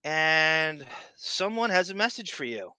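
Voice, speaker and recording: Bright-voiced, rambling male podcast host. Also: on the high side, big pitch swings, unhurried, clear, resonant tone, no echo. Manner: rambling, repeats phrases, jumps between asides. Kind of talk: casual live chat show.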